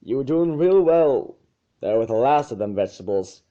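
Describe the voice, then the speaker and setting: A slow, measured country stallion from a pony storybook, acted out by a man, steady and encouraging, with a drawl. The recording is a little dull and lo-fi. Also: medium pitch, lightly acted. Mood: quietly encouraging.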